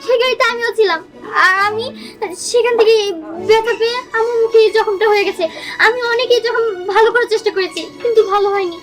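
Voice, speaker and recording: A woman's voice: very high voice, steady tone, quick pace. A woman playing a rejected, scarred young bride who pleads tearfully in a melodramatic short film. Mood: sorrowful confession. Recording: loud music over the dialogue.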